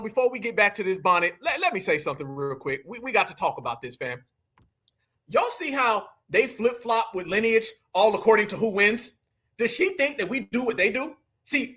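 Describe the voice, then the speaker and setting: A man's voice: high voice, sharp tone. An American man hosting a live stream, reacting to a clip with combative, mocking commentary in slangy speech addressed to his viewers. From United States. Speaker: livestream commentator.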